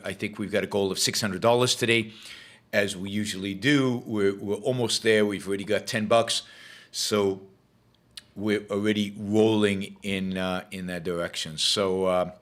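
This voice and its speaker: Hoarse low-voiced man hosting a livestream. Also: fairly bright tone. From Israel. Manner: rambling, many fillers and restarts. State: losing his voice. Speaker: show host. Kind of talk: casual livestream talk.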